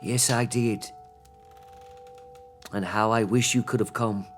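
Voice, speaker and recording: Gentle grieving father, slow and tender. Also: on the low side, steady tone, faint music behind. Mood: tender grief. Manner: tender, halting, earnest. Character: gentle grieving father, loving and brave-faced. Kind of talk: theatrical radio-play acting.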